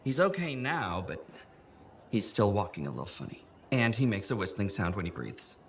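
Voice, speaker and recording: An actor playing an earnest, fussy safety campaigner in a video game, with a grave, meticulous deadpan delivery. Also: male voice, fairly low pitch, moderate pace, a little hiss or hum. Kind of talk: video game dialogue acting.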